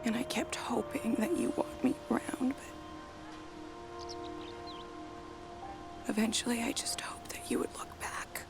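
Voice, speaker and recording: A woman's voice: fairly high pitch, fairly even intonation, a little slow, pauses often, in a whisper, over music. A woman playing a hurt, protective mother and younger sister in a naturalistic video game drama. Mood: wistful, wounded.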